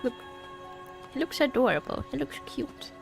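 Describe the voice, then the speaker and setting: A woman's voice: high-pitched, big pitch swings, moderate pace, vocal fry, soft background music. A woman live-streaming a game, chatting casually and expressively, often repeating her phrases. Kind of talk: casual livestream chat while gaming.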